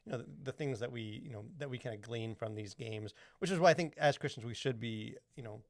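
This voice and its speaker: Man, podcast co-host, casual rambling chat, filler-heavy, mid-pitched, dry close mic. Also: fairly quick, no room sound. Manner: rambling, heavy use of "like" and "you know".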